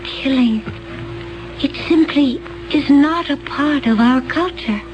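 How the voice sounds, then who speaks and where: An otherworldly young woman, high-pitched and strangely calm. She speaks slowly and with detachment, sounding slightly muffled, with faint music underneath in this old radio drama. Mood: detached. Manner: slow, measured, detached. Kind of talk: 1970s radio drama acting.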